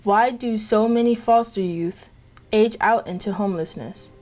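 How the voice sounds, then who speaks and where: A woman's voice: mid-range voice, normal speed, darkish tone, soft background music. Woman narrating a documentary.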